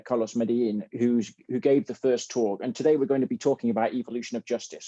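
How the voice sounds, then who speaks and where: A man with a soft-edged, mellow voice moderates a student video call. They speak informally and hesitantly, rewording as they go. The sound is dry and slightly dull. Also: medium pitch, dry recording. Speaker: host and moderator of a student symposium.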